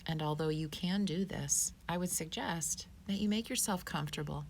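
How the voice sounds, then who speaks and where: A woman teaching yoga, giving guided meditation instruction in a calm, soothing, unhurried manner. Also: fairly low pitch, a little hiss or hum.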